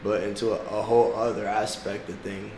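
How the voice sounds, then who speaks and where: A man's voice: lowish voice, little pitch movement, brisk, creaky. A young adult man from South Florida whose first language is Portuguese, talking in a laid-back, slangy way and laughing often as a music artist being interviewed. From South Florida, United States. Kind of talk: casual interview chat. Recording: echoey room with background noise.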